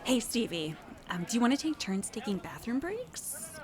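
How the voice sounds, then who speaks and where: An actress playing a friendly, apologetic camp chaperone in an audio drama, casual and placating. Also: female voice, a little high, big pitch swings, moderate pace. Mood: casual, reassuring.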